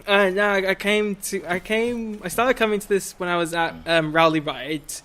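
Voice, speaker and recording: A man's voice: high-pitched, moderate pace, sharp tone. A man as a guest in a casual chat, giving brief replies with laughter.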